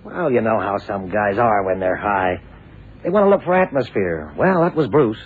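Man playing young lawyer guy, evasive n defensive, lively up and down voice, thin old radio sound. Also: fairly low pitch, moderate pace, narrow, tinny audio, lightly acted. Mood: defensive, casual. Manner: hesitant, defensive, colloquial. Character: evasive, ambitious young American male lawyer. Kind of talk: radio drama acting. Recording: thin, noisy 1960s radio drama recording.

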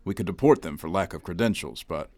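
Radio drama government man: lowish pitch, officious and condescending, dry studio sound. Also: fast, darkish tone, no room sound. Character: condescending, officious male federal immigration agent.

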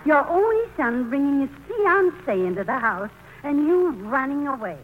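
Fretful older housewife, high animated voice, fluttery, coaxing, muffled vintage radio drama. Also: female voice, moderate pace. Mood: coaxing, scolding. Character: fretful, flustered older wife and doting mother. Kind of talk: 1940s radio play acting. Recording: muffled, noisy old radio broadcast.

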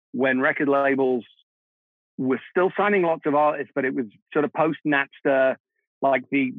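A man in his forties, born Czech, recalls his path into music with a self-deprecating humor. His voice comes through a narrow, phone-like band with almost no room sound. He sits at a middling pitch for a man. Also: no echo. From Czech Republic. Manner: reflective, self-deprecating, laughs easily. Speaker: guest, film composer. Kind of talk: casual interview conversation.

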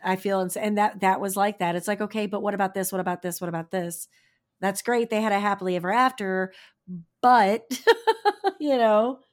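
A woman with a naturally raspy voice, strained and tired from talking all day. She co-hosts a relaxed podcast chat, offering short interjections that trail off. Her pitch sits in an ordinary middle range. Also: normal speed. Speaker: podcast co-host. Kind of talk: casual chat.

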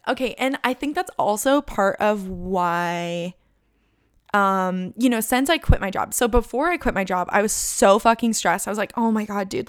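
Chatty young woman, smooth dry voice. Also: fairly high pitch, dry recording. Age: 20s. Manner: chatty, frequent "like" and "literally", laughs often. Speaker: podcast host. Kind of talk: casual solo podcast reflection.

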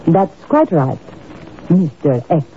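A cool, knowing nightclub proprietor with very animated, swooping pitch and arch formality. The old radio sound is tinny. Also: female voice, slow, heavily acted. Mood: guarded, knowing. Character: suave, guarded nightclub owner in Bombay. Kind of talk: 1950s radio drama acting. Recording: tinny old radio recording, faint noise.

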